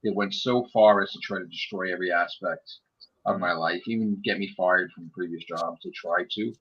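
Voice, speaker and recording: Husky rambling man on a muffled call. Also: low-pitched, moderate pace, a bit bright. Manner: rambling, swears casually. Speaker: host. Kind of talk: casual group chat.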